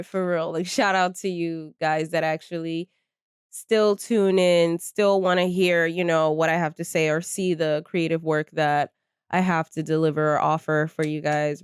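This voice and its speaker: A woman's voice: lowish voice, clear, resonant tone, dry recording, clean studio sound. A woman of thirty talking candidly and casually to her viewers from her bedroom, chatty and swearing freely into a desk microphone. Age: 30s. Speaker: channel host. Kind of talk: casual vlog talk to viewers.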